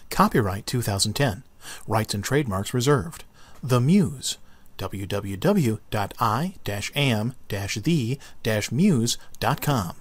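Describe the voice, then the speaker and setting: A man narrating a children's book as an expressive read-aloud. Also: medium pitch. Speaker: storybook narrator.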